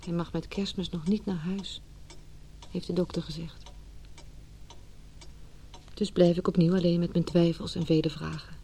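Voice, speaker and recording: An actress playing an anxious, doubting wife in a radio drama, hesitant and intimate, with a breathy, hushed delivery. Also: female voice, lowish voice, little pitch movement, normal speed, long pauses. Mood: anxious, brooding. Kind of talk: radio drama acting with inner monologue.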